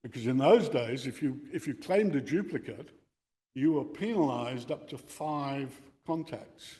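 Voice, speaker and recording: An older man giving an informal explanatory talk, reflective and halting in his phrasing. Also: medium pitch, mellow. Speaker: presenter giving a talk on radio contesting. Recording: reverberant hall sound.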